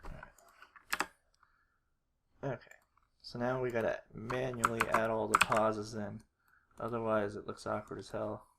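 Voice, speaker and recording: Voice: medium pitch, flat delivery, unhurried, pauses often. Someone casually walking through video editing on screen, half muttering to themselves while working at a computer. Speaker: video creator giving a tutorial. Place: indoors at a computer. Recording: clearly noisy, keyboard and mouse clicks.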